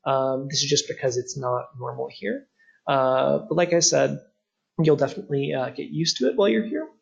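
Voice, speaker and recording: A man's voice: medium pitch, moderate pace, creaky, slightly rough, highs cut off. A young man, not Czech by birth, presents a study abroad webinar over slides, with many "uh" fillers and an earnest, explaining tone.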